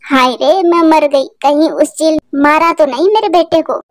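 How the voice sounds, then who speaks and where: A woman's voice: high voice, a little slow, no room sound, clean studio sound. A woman voicing an anxious, emotional mother sparrow in a cartoon, devoted and pleading.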